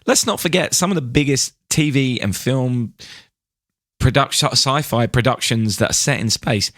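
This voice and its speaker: A man's voice: medium pitch, normal speed, a bit bright, no room sound, clean studio sound. A man hosting a podcast, chatting casually and with effusive enthusiasm. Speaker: podcast host. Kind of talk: casual podcast interview chat.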